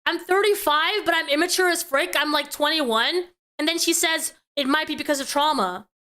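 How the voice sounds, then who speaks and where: A woman's voice: high voice, moderate pace, bright, forceful tone. A woman giving casual live reaction commentary as a streamer, emphatic and exasperated in her opinions.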